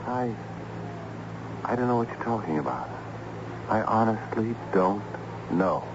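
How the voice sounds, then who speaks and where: A man's voice: lowish voice, animated, measured pace. A man playing a bewildered, educated schoolteacher held captive, hesitant and bookish, in an old-time radio drama. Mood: baffled, protesting. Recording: muffled, noisy old radio recording.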